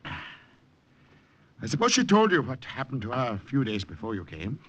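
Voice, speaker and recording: An actor playing a suave, menacing Nazi commandant with oily politeness and knowing chuckles, in 1950s radio drama style. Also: male voice, mid-range voice, wildly swooping pitch, a little slow, a bit bright, highs cut off, full character voice. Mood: insinuating, genial. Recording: noisy old 1950 radio broadcast.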